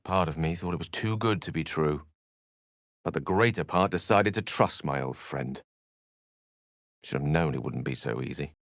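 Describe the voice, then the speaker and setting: A man's voice: a bass voice, normal speed, vocal fry, pauses often, dry recording, clean studio sound. A man voicing a courteous, self-assured wizard companion in a video game, articulate and wryly theatrical. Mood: grave. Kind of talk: video game character voice lines.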